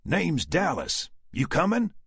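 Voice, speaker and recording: A man voicing a gruff, sardonic southern man who speaks in a slow, sneering Southern US drawl. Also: a little high, huge pitch swings, fairly bright tone, no echo, studio quality, pitched above the performer's own voice, exaggerated voice.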